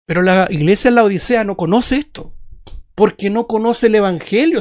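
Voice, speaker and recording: A man's voice: high-pitched, darkish tone. A Chilean man preaching a Bible sermon in an emphatic, didactic way, repeating phrases and asking rhetorical questions. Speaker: evangelical preacher.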